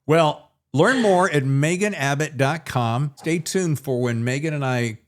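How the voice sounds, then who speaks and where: A man's voice: on the high side, a little slow. A man hosting a podcast in a casual, chatty style, with a folksy, effusive showman's way of talking. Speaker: podcast host.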